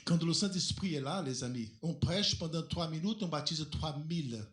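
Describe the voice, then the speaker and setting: A male pastor preaching a sermon in a church, a native Portuguese speaker who asks rhetorical questions and leaps up and down in pitch as he drives his points home. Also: moderate pace. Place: church hall. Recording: echoey church hall.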